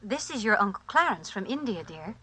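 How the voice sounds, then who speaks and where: An actress playing a gentle, anxious mother in 1940s radio drama style. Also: medium pitch, very animated, brisk, husky, exaggerated voice. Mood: soothing. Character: gentle, anxious mother soothing her child. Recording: vintage 1940s radio recording.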